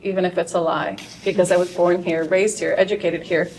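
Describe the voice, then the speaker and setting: A woman reading a translated first-person story aloud at a live literary event, with a dry, wry delivery that flares into sharp outbursts. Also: fairly low pitch, fairly even intonation, a little fast. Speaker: translator reading her work. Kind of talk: expressive reading of translated fiction. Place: event stage before an audience. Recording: distant mic in a large room, faint noise.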